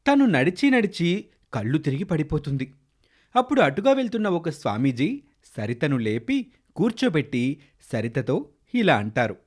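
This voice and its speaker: A man's voice: a little high, very animated, normal speed, long pauses. A man narrating a scripted children's moral story.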